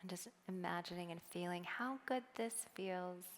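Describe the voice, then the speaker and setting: A woman keynote speaker on a stage, guiding a meditation softly and then talking with the audience in a chatty way. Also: fairly low pitch, big-room sound. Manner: soft, slow guiding then lively chatty with laughs. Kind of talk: guided meditation and audience talk. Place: large hall on a stage.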